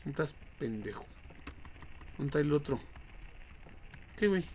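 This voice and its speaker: A man's voice: a little high, lively intonation, moderate pace, a little mellow. A young man with a Mexican accent, giving profane, slangy, reactive live commentary on a horror game. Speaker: game streamer. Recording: noisy home livestream microphone.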